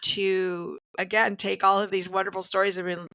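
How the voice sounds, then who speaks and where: Female podcast host, forward bright tone with some fry, earnest and encouraging in casual talk. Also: mid-range voice, flat delivery, moderate pace.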